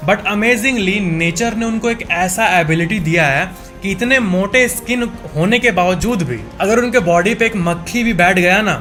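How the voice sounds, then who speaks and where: A man with a high-pitched voice hosts a casual countdown of facts. He talks conversationally, often repeating and rephrasing a point for emphasis. Music plays clearly behind his voice. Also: fairly bright tone. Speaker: facts channel host. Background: background music.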